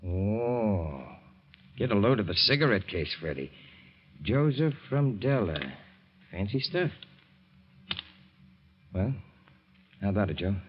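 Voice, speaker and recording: An actor playing a threatening gangster in a 1940s radio drama, smug and slangy. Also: male voice, fairly low pitch, animated, slow pace, pauses often. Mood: menacing. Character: menacing gangster with a gun. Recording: muffled 1940s radio recording.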